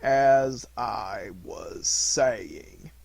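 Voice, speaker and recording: A man voicing a gloomy, self-important old donkey with a slow, ponderous, grumbling delivery in a storybook reading. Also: mid-range voice, lively intonation, creaky, darkish tone, dry recording, exaggerated voice. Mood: stern, loud.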